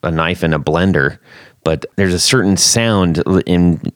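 A man hosting a podcast interview, chatting casually and loosely, often thinking aloud in halting phrases. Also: sits low, no room sound, clean studio sound. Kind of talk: casual chat.

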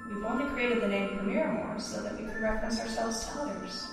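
A woman playing a calm, nurturing alien from a collective mind in a sci-fi audio drama, formal, measured and reassuring, with heavy reverb. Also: fairly high pitch. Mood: warm, encouraging. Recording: cavernous long reverb, distant, music underneath.

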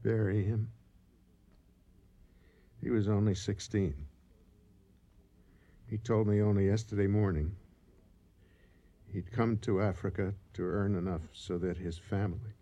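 An actor playing a grim, determined lion hunter in a radio drama, with a husky voice and terse, commanding speech. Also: male voice, fairly low pitch, little pitch movement, unhurried, long pauses, warm tone, dull audio. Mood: grieving, grim. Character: grim, determined male lion hunter leading men in Africa. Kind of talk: 1980 radio drama acting.

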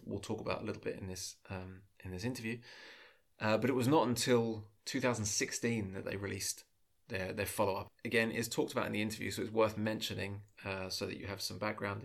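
Gravelly male host, casual and chatty, a bit self-deprecating. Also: on the low side. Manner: chatty, self-deprecating, many small restarts. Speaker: podcast host. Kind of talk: casual chat and interview questions.

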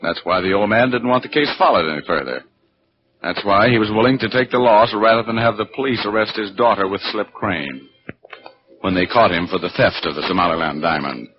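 A hard-boiled American private eye played by a man, wry and animated, in mid-range pitch. It is 1940s radio acting, muffled, with music behind the voice. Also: natural voice. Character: wisecracking hard-boiled male private detective, narrating his case. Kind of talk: 1940s radio acting, first-person narration.

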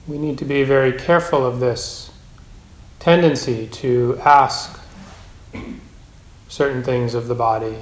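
A man teaching a guided meditation in a measured, gentle way, with long pauses between phrases. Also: medium pitch, fairly even intonation. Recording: roomy, echoing space.

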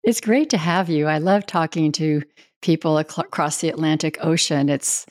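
A woman's voice: sits low, moderate pace, no echo, studio quality. A woman who is a reverend, hosting a spiritual podcast and interviewing a guest in an earnest, conversational way. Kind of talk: scripted intro then casual interview. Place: home in Wisconsin, USA.

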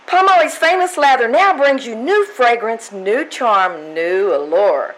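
A woman's voice: fairly high pitch, animated, unhurried, fairly bright tone, rough recording. A woman playing a gushing, emphatic announcer reading a vintage 1940s-style radio commercial.

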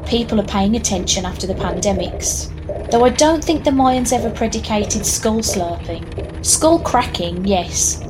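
A woman playing a reluctant hired narrator of an audio horror tale, with a deadpan, wry delivery. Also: mid-range voice, close to an everyday voice. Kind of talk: scripted narration with deadpan asides. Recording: clear background noise and ambience.

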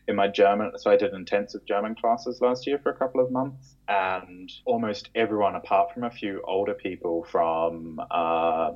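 A man with a New Zealand accent chatting casually as a podcast guest about his own experiences. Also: low-pitched. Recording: dull, narrow lo-fi connection.

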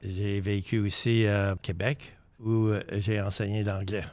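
An older man from British Columbia speaking in a deliberate, proud, drawn-out way in a podcast chat. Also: lowish voice, level tone, darkish tone, dry recording. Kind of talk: casual chat.

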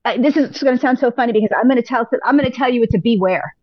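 A woman, a pilates instructor, giving upbeat, encouraging advice as a podcast guest, a little hoarse from losing her voice. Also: a little high, rapid-fire, dry recording, lo-fi sound. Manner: upbeat, encouraging, speaks in repeated affirmations. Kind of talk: casual interview advice.

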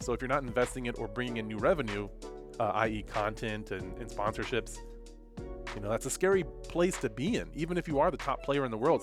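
Nasal man with a stuffy nose. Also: medium pitch. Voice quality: slightly nasal. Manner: thoughtful, rambling, with frequent fillers. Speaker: fighting game commentator and content creator. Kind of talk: casual commentary talking to camera.

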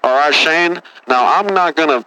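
A man's voice: fairly high pitch, moderate pace, bright, forceful tone, clear, resonant tone, low-quality recording. A man playing a boastful, touchy caller from Texas who says "man" after nearly every phrase, in a comedy radio bit. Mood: whiny, indignant. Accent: Texan.

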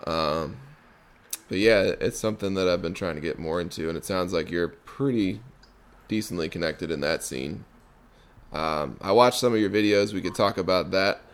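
Laid-back podcast host, low voice. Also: male voice, normal speed. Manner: relaxed, many ums and restarts. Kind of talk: casual interview chat.

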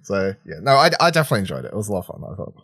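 A man's voice: fairly low pitch, sing-song, rapid-fire, fairly bright tone, dry recording, clean studio sound. A man co-hosting a podcast, giving casual, unscripted film opinions in a rambling, conversational way with some swearing. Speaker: podcast co-host reviewing films and series.

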